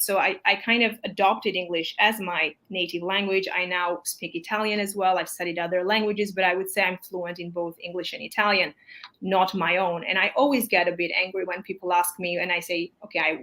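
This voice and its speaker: A woman's voice: on the low side, sharp tone, rough recording. A woman in her thirties with Armenian as her first language, hosting a casual live chat in a chatty, filler-heavy way. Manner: chatty, apologetic, with many fillers. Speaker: English teacher, host.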